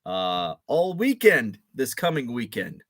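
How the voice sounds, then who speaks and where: A man around forty hosting a casual live show, a bit groggy and deeper-voiced after a late night, rambling with lots of fillers and restarts. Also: very animated, takes it slowly, no room sound, clean studio sound. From Illinois. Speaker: host.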